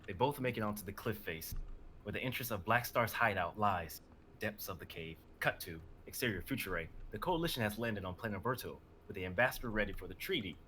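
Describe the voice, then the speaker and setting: Low, bright-edged man reading stage directions. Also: expressive, brisk, slight background noise. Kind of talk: scripted narration in a live script reading.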